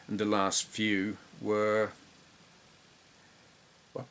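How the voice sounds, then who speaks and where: A middle-aged man with an English accent and a low-pitched voice chats casually. He rambles and reflects, pausing as he gathers memories. There is some background noise on the recording. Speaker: retro gaming YouTuber. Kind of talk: casual gameplay commentary and reminiscence.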